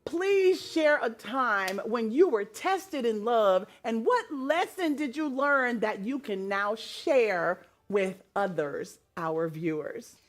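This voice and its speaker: A woman hosting a TV talk show, asking expressive questions and affirming her guest often. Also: on the high side, slow, few pauses.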